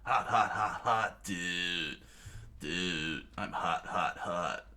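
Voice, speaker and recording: A man's voice: low voice, extremely slow, sharp tone, full character voice. Man as a goofy, slangy young pirate. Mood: pained, goofy. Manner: casual slangy dude talk.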